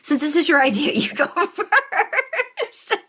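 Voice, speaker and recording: A woman's voice: extremely high pitch, big pitch swings, slow, sharp tone. A woman co-hosting a podcast in casual, conversational chat. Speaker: podcast co-host.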